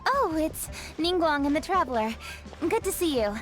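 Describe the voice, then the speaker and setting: A woman playing a diligent young female official in a video game, earnest and firm, with a crisp, businesslike manner. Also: high voice, very animated, normal speed, over music. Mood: friendly.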